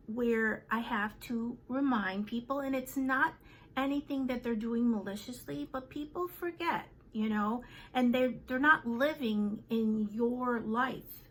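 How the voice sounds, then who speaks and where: A woman in her fifties in a casual vlog, talking to her viewers in a chatty way with many fillers and pauses. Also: fairly high pitch, a bit bright, rough recording. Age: 50s. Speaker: vlogger. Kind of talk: casual chat to camera.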